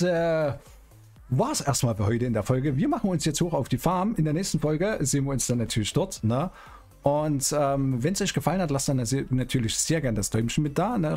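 A middle-aged man, chatting casually as a streamer in a role-play game with drawn-out, teasing phrasing. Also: mid-range voice, a little mellow. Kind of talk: casual in-character gaming chat. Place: indoor streaming room. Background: music.

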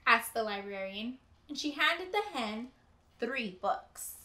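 A young woman reading a picture book aloud as a teacher to children, with deliberate, emphatic storytelling. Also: on the high side, animated, pushed and bright, slight background noise. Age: young adult. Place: indoor room with bookshelves. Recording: roomy indoor echo.

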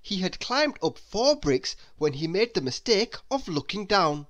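Slow, very animated male storyteller. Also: sits high. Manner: expressive, deliberate read-aloud delivery. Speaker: storyteller reading a children's book aloud. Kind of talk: scripted narration for young children.

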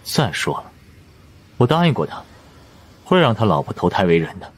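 A man voicing an aloof, powerful boss of supernatural investigators in an audiobook, condescending, unhurried and matter-of-fact. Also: on the low side, big pitch swings, breathy edge. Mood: cool, commanding. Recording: music under the voice.

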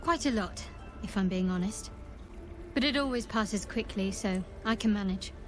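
A woman's voice: mid-range voice, normal speed, close to an everyday voice. Actress as a guarded, wry woman adventurer, music behind. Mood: guarded calm. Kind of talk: video game voice acting.

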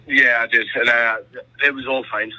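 A man's voice: medium pitch, flat delivery, moderate pace, pushed and bright. Young man on a tinny phone line. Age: young adult. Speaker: army applicant, prank call target. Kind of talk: phone conversation.

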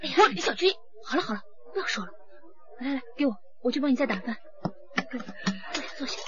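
A woman's voice: sits high, lively intonation, fast, dull audio, noisy background. An actress in a radio drama playing a caring, eager young policewoman. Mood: soothing.

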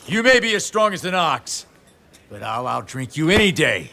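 A man's voice: a little high, big pitch swings, moderate pace, gravelly, sharp tone, full character voice. A man playing a boisterous, hard-drinking warrior lord in a video game, hearty and teasing. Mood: jovial, teasing. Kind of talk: dubbed video game cutscene acting.

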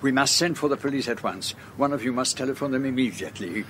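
An actor playing a fussy, dignified elderly Belgian detective with a French accent in a radio drama, speaking in a measured, formal way. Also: male voice, medium pitch, fairly bright tone, slight background noise, natural voice. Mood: grave. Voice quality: measured, formal, unhurried delivery. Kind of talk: radio drama acting and narration.

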